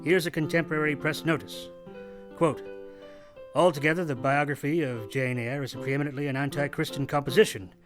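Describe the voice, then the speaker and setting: A man's voice: on the high side, fairly even intonation, fairly quick, over music, natural voice. A man narrating a radio play in a wry, literary, theatrical storytelling manner.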